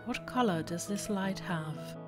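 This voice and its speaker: A woman reading a guided meditation, giving slow, deliberate instructions in short phrases. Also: mid-range voice. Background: relaxing background music.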